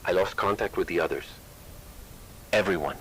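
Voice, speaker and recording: A man playing a frightened explorer trapped underground, speaking in halting, broken phrases in an analog horror tape. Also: a bit bright. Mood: frightened, disoriented. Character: frightened trapped male explorer lost in shifting tunnels. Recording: noisy found-tape recording with rumble.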